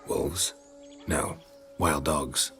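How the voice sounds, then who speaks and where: A man playing a stoic, gruff, middle-aged monster hunter in a video game, with a gravelly voice and terse, deadpan delivery. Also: very slow, over music, heavily acted. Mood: dry, unhurried. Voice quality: gravelly, husky low voice.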